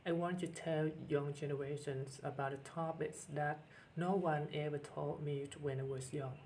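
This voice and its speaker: A middle-aged man with a Vietnamese accent, speaking earnestly to camera as a teacher with many pauses and restarts. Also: mid-range voice, darkish tone, slight background noise, poor audio. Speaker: teacher and channel host. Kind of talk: personal talk to camera.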